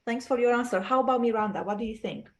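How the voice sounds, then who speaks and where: A woman's voice: a little high, fast. A woman from Romania teaching an online class over a video call, explaining patiently and checking that her students follow.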